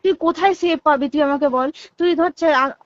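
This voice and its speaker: A woman's voice: high voice, moderate pace, no room sound. A young woman with a West Bengal Bengali accent talks casually but insistently on a recorded phone call, often asking emphatic rhetorical questions.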